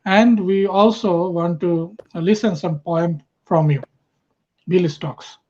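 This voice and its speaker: A man with a Bangladeshi accent moderates an online event. He speaks hesitantly and fills his pauses with uh's. The audio is dull and lo-fi. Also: high-pitched, fairly even intonation, a little mellow. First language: Bengali. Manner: hesitant, many uh fillers. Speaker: festival moderator.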